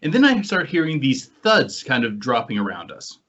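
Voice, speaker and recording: An American man hosting a podcast, telling anecdotes in a casual, animated storytelling way. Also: medium pitch, moderate pace. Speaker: podcast host and paranormal investigator. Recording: dull, lo-fi online call audio.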